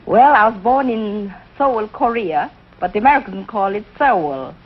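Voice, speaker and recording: A woman's voice: medium pitch, lively intonation, moderate pace, breathy edge. Earnest woman contestant on an old quiz show. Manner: eager, earnest storytelling. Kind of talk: earnest guest interview. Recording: dull noisy 1950s broadcast recording.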